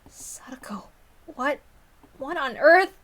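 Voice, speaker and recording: Woman playing an anxious, high-voiced teenage boy. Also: expressive, slow, a bit bright, a little hiss or hum, heavily acted. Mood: concerned. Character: earnest worried teenage schoolboy, pleading with his friend. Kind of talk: dramatic visual novel reading.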